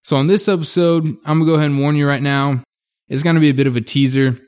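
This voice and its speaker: A man's voice: fairly high pitch, fairly even intonation, fast talker, darkish tone, clean tone. A man hosting a podcast, introducing the episode and welcoming a guest in an upbeat, enthusiastic way. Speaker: podcast host. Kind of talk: scripted episode intro and interview questions.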